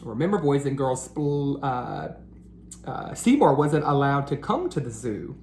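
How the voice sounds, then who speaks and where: A woman reading a picture book aloud to children as a teacher, in a deliberate, engaging storytelling style. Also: very deep voice, very animated, darkish tone, echoey room, slight background noise. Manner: deliberate, engaging, asks listeners questions. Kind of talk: animated read-aloud storytelling.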